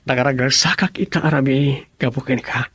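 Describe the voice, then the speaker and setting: An actor playing a tender, earnest young suitor with a husky voice and an Ilocano Filipino accent in a radio drama. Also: male voice, mid-range voice, fairly even intonation, fairly quick, fairly bright tone, no echo. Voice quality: husky, creaky.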